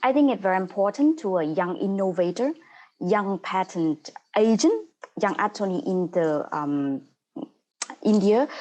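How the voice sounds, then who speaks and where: A woman's voice: medium pitch, a little mellow, low-quality recording. Vietnamese-accented woman explaining. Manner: halting, non-native grammar, earnest and explanatory. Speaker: paralegal and guest speaker. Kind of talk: online webinar presentation. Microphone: video call.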